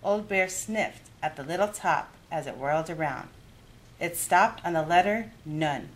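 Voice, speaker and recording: A woman narrating a children's story in an expressive read-aloud style. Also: on the low side, unhurried, poor audio. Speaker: youth adviser reading a children's story.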